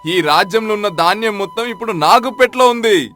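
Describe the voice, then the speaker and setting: A man's voice: high voice, lively intonation, brisk, a bit bright, faint music behind. A man playing an arrogant, scheming grain merchant in a folk-tale drama, haughty and mock-polite as he makes threats. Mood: defiant.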